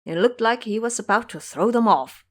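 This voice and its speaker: Lively female audio drama storyteller. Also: on the high side, normal speed. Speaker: narrator.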